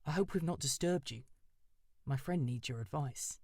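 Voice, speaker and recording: A man's voice: on the high side, moderate pace, vocal fry, a little mellow, dry recording, studio quality. A man doing a polite young crow. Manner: polite and respectful.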